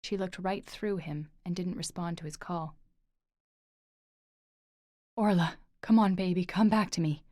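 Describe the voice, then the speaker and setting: A woman's voice: fairly low pitch, steady tone, moderate pace, hardly any pauses, mellow, dry recording, clean studio sound, natural voice. A woman voicing an intense, protective young man who speaks emphatically. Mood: desperate. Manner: pleading, emphatic.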